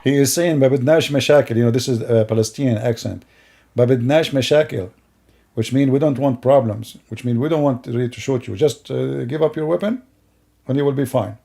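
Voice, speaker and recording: Gravelly, mocking middle-aged man. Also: medium pitch, quick pace, warm tone. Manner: mocking, rambling, with broken grammar. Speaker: commentator. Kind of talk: sarcastic commentary over video clips.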